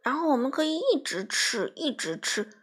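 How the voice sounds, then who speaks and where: Woman voicing a high, huffy little storybook animal. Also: big pitch swings, fairly bright tone. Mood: grumbling. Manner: muttering, huffy, quick to retort.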